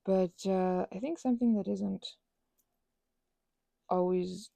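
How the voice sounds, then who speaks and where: Soft, creaky woman rambling whimsically. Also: lowish voice, no room sound. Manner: whimsical, self-interrupting, chatting to herself and objects. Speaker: craft and ASMR video creator. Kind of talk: rambling casual chat while crafting.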